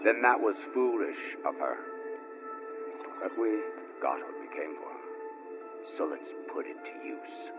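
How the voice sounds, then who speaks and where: An actor playing an arrogant, curt commander in a video game, speaking in a clipped, dismissive way. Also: male voice, sits low, expressive, takes it slowly, long pauses. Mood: cold, commanding. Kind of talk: cinematic video game acting. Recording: muffled, long reverb, music underneath.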